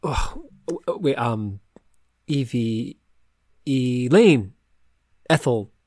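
A man's voice: mid-range voice, animated, drawn-out words, darkish tone, no room sound, studio quality, full character voice. An actor playing an awkward, hesitant young man in an audio drama, stammering and unsure of himself. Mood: flustered.

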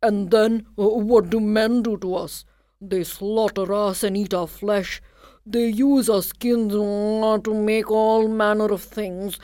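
Weary old ox, low, grave and slow, voiced by a woman. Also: steady tone, mellow, no echo, lightly acted.

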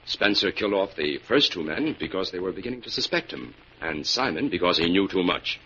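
An actor playing a confident, shrewd crime-fighting investigator in an old-time radio drama, explaining things in a crisp, declarative way. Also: male voice, low voice, expressive, a little fast, bright, forceful tone. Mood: assured explaining. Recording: dull, noisy old radio recording.

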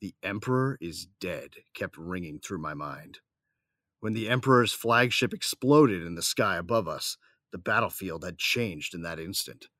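A man's voice: sits low, fairly bright tone, no room sound, studio quality. A man playing a cocky, battle-weary young gunslinger, narrating and talking in a wry, casual, slangy way in an audio drama. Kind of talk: first-person audio drama narration and dialogue.

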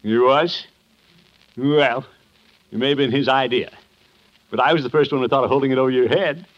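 An actor playing a pompous, fretful middle-aged uncle in a 1950s radio sitcom, blustery and muttering. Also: male voice, medium pitch, normal speed, close to an everyday voice. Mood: flustered, coy. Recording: muffled, noisy vintage radio recording.

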